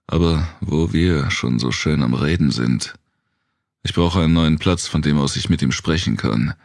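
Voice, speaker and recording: A man plays a weary wanderer in a dark audiobook, guarded and hesitant. His voice is extremely deep and creaky, recorded dry. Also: normal speed, no echo. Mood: defensive, resolute.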